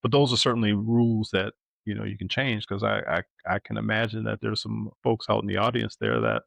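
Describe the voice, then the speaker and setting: A middle-aged man co-hosting a podcast in casual, reflective conversation, thoughtful and halting, often checking in with a questioning tag. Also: on the low side, animated, vocal fry, dry recording. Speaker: podcast co-host.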